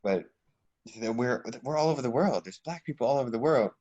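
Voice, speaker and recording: A young American man talking as a podcast guest in a relaxed, reflective way, with fillers and restarts. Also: mid-range voice, a little fast. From United States. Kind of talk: casual conversation. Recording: dull lo-fi sound.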